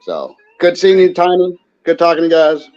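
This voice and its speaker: Male livestream guest, lively, a bit high, casual chat, slightly muffled lo-fi call. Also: normal speed. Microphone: video call. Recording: dull lo-fi call audio.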